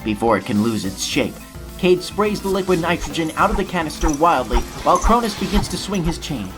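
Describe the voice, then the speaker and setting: Animated, theatrical audio drama narrator. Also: mid-range voice, a little fast. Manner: vivid, theatrical. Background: music.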